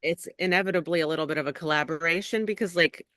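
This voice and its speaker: A middle-aged woman with a bright, forceful voice talks loosely about her craft as an interview guest. She rambles and fills her sentences with "like" and "you know". The sound is dry and close, with no room around it. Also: low-pitched, fast, dry recording. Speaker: actor and acting coach, guest. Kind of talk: casual interview talk.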